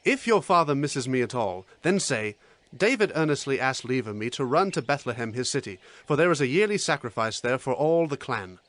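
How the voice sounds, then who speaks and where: An actor playing an earnest, pleading young fugitive in a dramatized scripture recording. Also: male voice, medium pitch, sing-song, brisk, a bit bright, dry recording. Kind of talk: dramatized scripture audio acting.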